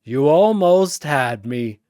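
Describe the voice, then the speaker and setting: A man's voice: on the high side, animated, mellow, clean tone, no room sound, studio quality. Guy doing evil god villain for a story, gloating, draws words out, swoops up and down. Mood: gloating menace. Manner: drawn-out, taunting, theatrical. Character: gloating, menacing godlike male villain personality.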